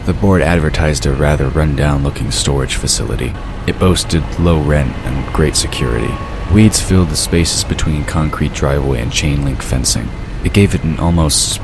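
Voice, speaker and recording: A man narrates an audio drama in an extremely low voice. The reading is scripted storytelling, laid over clearly audible ambient and vehicle noise. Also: moderate pace. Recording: noisy background of ambience effects and vehicle sound.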